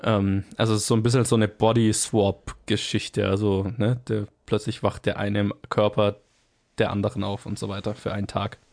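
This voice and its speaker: A man co-hosting a casual film podcast, self-deprecating and quick to laugh. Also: lowish voice, little pitch movement, vocal fry, darkish tone. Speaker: podcast co-host.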